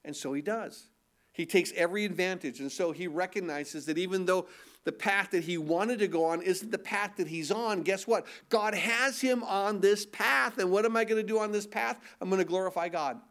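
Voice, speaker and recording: Nasal, high-pitched male pastor; casual preaching style that leans on questions to the congregation. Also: moderate pace, a bit bright. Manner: conversational preaching with rhetorical questions. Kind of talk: sermon.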